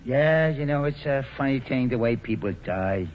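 A man's voice: mid-range voice, expressive, normal speed, music in the background, close to an everyday voice. Man playing slangy tough guy, muffled radio. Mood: offhand, musing. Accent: American. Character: slangy, callous, cocky tough guy musing idly. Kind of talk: 1940s radio drama acting. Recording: muffled, noisy old radio broadcast.

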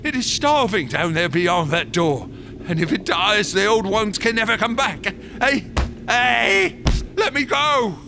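Crazed gloating artist in horror radio play, high pitched, whispers to shrieks, noisy track. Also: male voice, animated, a little slow. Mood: raving frenzy. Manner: theatrical, swinging from whispers to shrieks, cackling. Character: deranged, fanatical wax sculptor, gloating and raving. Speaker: actor in a radio drama. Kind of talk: horror radio drama acting.

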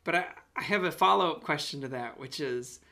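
A man's voice: fairly high pitch, a little fast, vocal fry, pushed and bright. A man hosting a conversational podcast interview, reflective and appreciative as he responds and asks questions.

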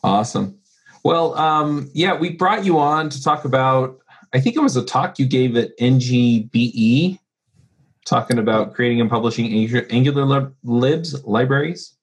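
A man's voice: medium pitch, expressive, normal speed, slightly rough. A man hosting a tech podcast, chatty and friendly, who laughs easily. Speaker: podcast host.

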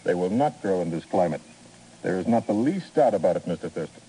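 An actor playing a confident, deferential farm manager in a 1950s radio drama, formal, precise and matter-of-fact. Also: male voice, low voice, animated, fairly quick, warm tone, slight background noise, rough recording. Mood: firm, courteous.

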